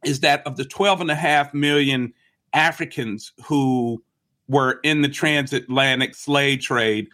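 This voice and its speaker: A man's voice: medium pitch, measured pace, pushed and bright. Man hosting a podcast interview. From United States. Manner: thoughtful, asks open questions. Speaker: podcast host. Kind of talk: conversational interview.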